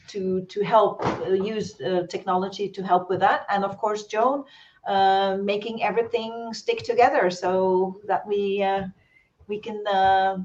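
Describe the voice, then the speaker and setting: A woman's voice: mid-range voice, darkish tone. A woman from Norway moderating an online webinar, speaking with many fillers and restarts over a video call. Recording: dull lo-fi call audio.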